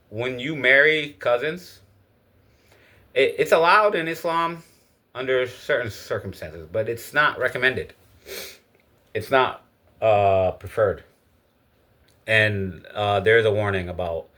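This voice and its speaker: A man's voice: on the low side, slow pace, pauses often, bright, forceful tone. A man from Egypt giving a casual, opinionated spoken monologue. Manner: opinionated, lecturing. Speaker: speaker giving his views.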